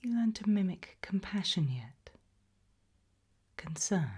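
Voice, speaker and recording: A British woman playing a composed, probing, ominous interrogator in a whispered, breathy ASMR roleplay, questioning deliberately. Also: mid-range voice, expressive, full character voice. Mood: eerie, probing. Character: composed, probing female interrogator of possessed people at a sinister institute.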